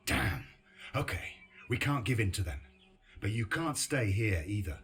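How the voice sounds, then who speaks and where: An actor playing a weary, guarded war veteran in a video game, speaking gravely and with measured delivery. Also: male voice, on the low side, sing-song, fairly bright tone, heavily acted. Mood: tense. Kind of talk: dramatic game dialogue and reflective narration.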